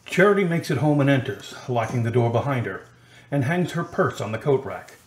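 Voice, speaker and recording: A man's voice: medium pitch, animated, fairly quick. A man giving scripted radio drama narration in an echoing space. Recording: roomy, echoing recording.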